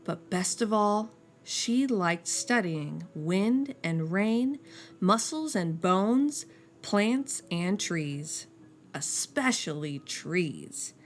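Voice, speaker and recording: A woman reading a children's picture book aloud as a storyteller, slowing down and dropping soft and low on each refrain. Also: animated, soft background music.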